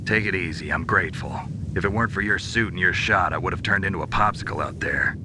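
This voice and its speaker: An actor playing a tough, dry-witted special-operations soldier in a video game, terse and deadpan. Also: male voice, deep voice, fast, background noise, close to an everyday voice.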